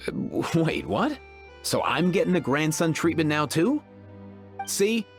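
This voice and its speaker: A wry, exasperated young woman from a video game. Her delivery is casual and expressive, with lively swings in pitch. Faint music sits behind her. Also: a little slow, heavily acted. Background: background music.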